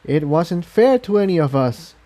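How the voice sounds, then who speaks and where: A man voicing an anxious, apologetic female pony rebel in a storybook reading, speaking in a halting, earnest way. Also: fairly high pitch, sing-song, warm tone, voice pushed up. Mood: self-reproaching, relieved.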